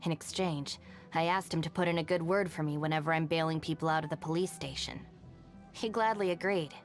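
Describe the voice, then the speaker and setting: A woman's voice: deep voice, a little fast, close to an everyday voice. An actress playing a level-headed, self-reliant young woman in a video game, speaking in a composed, dry, matter-of-fact way. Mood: dry, unruffled. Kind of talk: scripted video game character voice lines. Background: background music.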